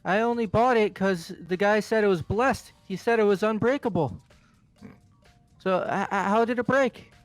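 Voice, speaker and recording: A man's voice: sits high, moderate pace, dry recording, faint music behind, natural voice. A man voicing a panicky, flustered young male game character who stammers and repeats himself. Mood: alarmed, indignant. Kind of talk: game character voice acting in a playthrough.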